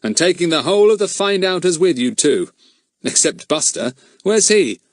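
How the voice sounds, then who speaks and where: A man playing a booming, brisk police chief. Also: sing-song, no echo, clean studio sound. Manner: stentorian, brisk. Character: brusque police chief inspector, middle-aged man, authoritative.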